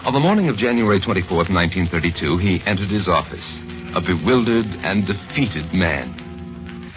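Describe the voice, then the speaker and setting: A man narrating a radio mystery in a grave, deliberate way. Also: sits low, expressive. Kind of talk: scripted dramatic narration. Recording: dull old radio recording with music.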